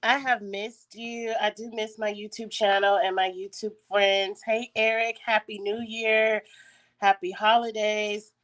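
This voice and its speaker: Woman, raspy congested voice, clears her throat often, chatty storytelling, phone recording. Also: mid-range voice, flat delivery, a little slow, fairly bright tone. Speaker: YouTube host. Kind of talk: casual story time.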